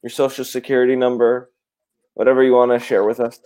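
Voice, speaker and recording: A man from Puerto Rico, co-hosting a live online English lesson in a relaxed, joking way and laughing often. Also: medium pitch, fast. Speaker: co-host teacher.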